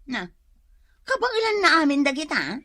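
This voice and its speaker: A woman's voice: high-pitched, big pitch swings, moderate pace, bright, forceful tone, dry recording, studio quality, exaggerated voice. A woman playing a proud, coy single woman in an Ilocano comedy radio drama, full of curt questions, with an Ilocano accent. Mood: cool, doubtful.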